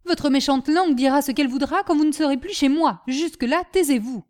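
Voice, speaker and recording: Woman playing an exasperated, high-pitched master of the house. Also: lively intonation, a little fast, a little mellow. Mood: angry, scolding. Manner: scolding, emphatic, sarcastic. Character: exasperated, mocking middle-aged master of the household.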